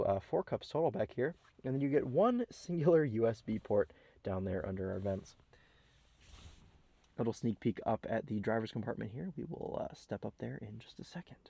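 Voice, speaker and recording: A man's voice: fairly low pitch, darkish tone. A young man with a slightly nasal, stuffy voice from a cold, chatting upbeat through a car walkaround outdoors and inside the car. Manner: upbeat, chatty, filler-laden. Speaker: car reviewer. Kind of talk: casual walkaround car review. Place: outdoors in a parking lot, then inside a car.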